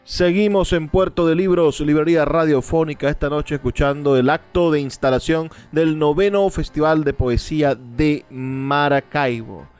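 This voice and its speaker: A man's voice: a little high, normal speed, few pauses, a little mellow, clean tone. A man presenting on radio in a scripted announcer style. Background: music.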